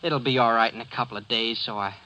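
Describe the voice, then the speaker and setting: An actor playing an uneasy, evasive teenage son in a 1940s radio drama, with a hesitant, stammering, boyish manner. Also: male voice, mid-range voice, fairly even intonation, quick pace, pushed and bright, muffled recording, slight background noise, close to an everyday voice. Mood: nervous, evasive.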